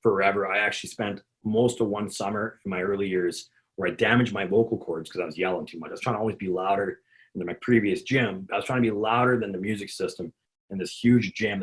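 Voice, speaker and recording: A man with a gravelly voice, a hockey conditioning coach giving an instructional talk to other coaches, rambling with repeated words and restarts. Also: lowish voice, rough recording.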